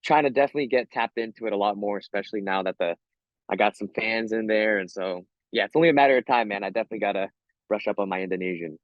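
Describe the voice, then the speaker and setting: A man's voice: on the low side, fast, no echo, muffled recording. An American man, a fighter being interviewed, chatting casually in slang and often repeating himself. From Hawaii, USA.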